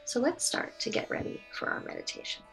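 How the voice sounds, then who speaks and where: A woman leading a guided meditation, speaking slowly and soothingly as she gives gentle instructions. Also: faint music behind, low-quality recording.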